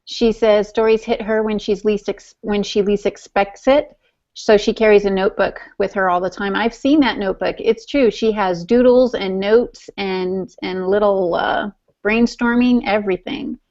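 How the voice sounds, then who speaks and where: Chatty woman podcast cohost, playful, teasing, medium pitch, kinda muffled lo-fi sound. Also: fairly even intonation, moderate pace, darkish tone. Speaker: podcast co-host. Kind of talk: casual podcast chat. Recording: dull, lo-fi podcast audio.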